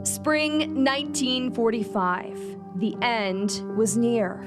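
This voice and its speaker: A woman narrates a news feature in a fairly high voice. Her scripted delivery is slow, solemn and dramatic, with weighty pauses. Instrumental music plays behind her. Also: expressive. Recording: music bed under voice-over.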